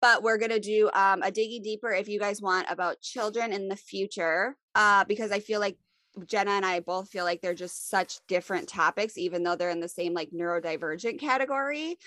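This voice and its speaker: A woman's voice: mid-range voice, fairly quick, pushed and bright, no echo. A young adult woman co-hosting a podcast, sniffly with a cold, chatting in a rambling, self-deprecating way. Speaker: podcast co-host. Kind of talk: casual chat.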